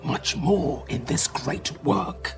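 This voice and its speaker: An actor playing a cautious, deliberate nobleman in a radio Shakespeare play, arguing in measured rhetoric. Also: a little high. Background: music.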